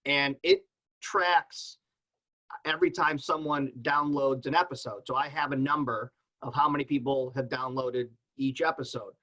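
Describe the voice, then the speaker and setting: A man's voice: mid-range voice, fairly even intonation, moderate pace, pushed and bright, low-quality recording. A man talking casually as a podcaster on a panel, in a plainspoken way.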